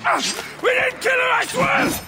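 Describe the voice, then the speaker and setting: A desperate, terrified fighter from a game drama begs. His voice is extremely high, gravelly and shouted against loud noise. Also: fairly even intonation, measured pace. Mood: desperate panic. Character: terrified male fighter, desperately pleading innocence. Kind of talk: dramatic video game acting.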